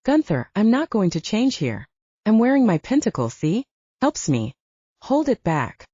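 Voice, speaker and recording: Woman reading as haunted young male half-werewolf, mellow. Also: medium pitch, sing-song, a little slow, husky, no echo, voice pushed up. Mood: pleading. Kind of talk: audiobook character dialogue. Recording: dull, lo-fi, narrow sound.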